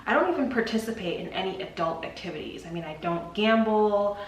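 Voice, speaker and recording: A woman's voice: mid-range voice, a little fast, far from the mic. A woman in her mid twenties chatting casually and candidly to camera, slangy and informal, slipping into little dramatized asides. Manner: animated, dramatizing her own thoughts in little acted asides. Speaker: vlogger. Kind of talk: casual chit-chat to camera. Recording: roomy echo, off-mic, faint background noise.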